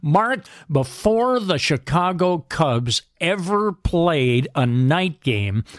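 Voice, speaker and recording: Male radio host: slow, deliberate announcer delivery, dry studio sound, faint music bed. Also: on the high side, very animated, no echo. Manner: measured, announcer-like. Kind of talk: radio interview and segment introductions.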